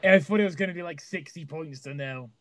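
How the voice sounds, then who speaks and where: A young man from Wales co-hosting a podcast, loud, teasing and sweary in casual banter. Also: a little high, brisk. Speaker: podcast co-host.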